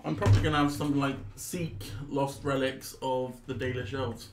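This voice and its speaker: A man's voice: mid-range voice, fairly even intonation, normal speed, noisy background. A man talking casually as a player explaining things in a tabletop game. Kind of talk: casual chat. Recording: echoey room, loud background sound.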